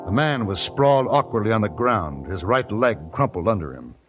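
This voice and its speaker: Over prominent music comes an actor as a Western investigator in an old-time radio drama. He is an American man with a low voice. His delivery is terse, steady and unruffled. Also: moderate pace, dull audio, lightly acted. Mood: measured, narrating. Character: laconic, steady male Western investigator on the trail. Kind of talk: old-time radio western acting and first-person narration.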